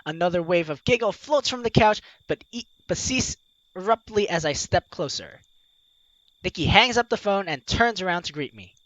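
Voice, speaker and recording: A woman's voice: lowish voice, expressive, normal speed, dry recording, dull audio. A woman hosting a game playthrough, reading the narration aloud expressively with playful, giggly commentary. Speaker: gaming video host, player reading aloud.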